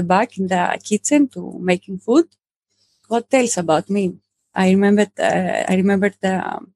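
A woman in her thirties with a Greek accent, speaking English as a second language. She talks in a halting way, full of fillers and restarts, as a podcast guest. Her voice sits at a middle pitch and sounds close and dry, with no room around it. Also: gravelly, a little mellow, no room sound. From Greece. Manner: hesitant, many fillers. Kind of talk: casual interview talk.

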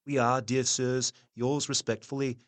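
An actor playing a brisk, formal Victorian clerk reading out a business letter in an audio drama. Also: male voice, mid-range voice, little pitch movement, natural voice. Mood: businesslike. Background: music.

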